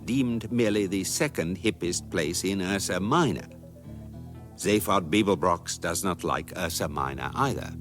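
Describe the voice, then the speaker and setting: Casting: deadpan British guidebook narrator, lowish voice, lively intonation, sci-fi radio comedy with faint music. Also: male voice. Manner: deadpan, measured, articulate. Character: wry, dry electronic guidebook narrator. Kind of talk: scripted comic radio narration.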